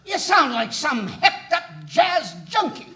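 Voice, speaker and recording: A man reading a grumpy old man at a live table read, blunt and shouty with insults. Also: very high voice, very animated, unhurried, a little hiss or hum, full character voice. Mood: grouchy. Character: grumpy old man, loud cranky complainer. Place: festival stage.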